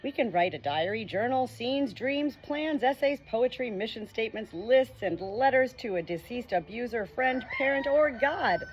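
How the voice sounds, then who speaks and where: A woman reading a nonfiction book chapter aloud as an audiobook, with earnest, expressive emphasis. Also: on the high side, moderate pace, hardly any pauses, lo-fi sound, soft background music. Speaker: audiobook reader.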